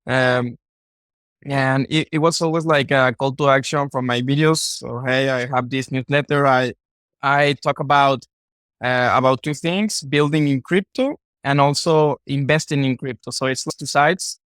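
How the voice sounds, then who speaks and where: A man from Mexico with a Mexican Spanish accent, a native Spanish speaker, talking casually as a podcast guest in halting, repetitive phrases. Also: medium pitch, steady tone, a bit bright, smooth, dry recording. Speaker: podcast guest, content creator. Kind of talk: casual interview talk.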